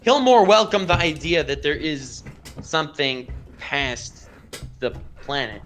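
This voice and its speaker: A man hosting a casual fan discussion on an online voice chat, talking in an animated, insistent way. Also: a little high, unhurried, soft background music. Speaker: stream host and anime video creator.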